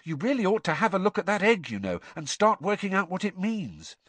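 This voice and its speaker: A man's voice: sits high, big pitch swings, brisk, fairly bright tone, dry recording, voice pushed up, exaggerated voice. A man voicing an earnest, insistent teenage schoolgirl who lectures her friend.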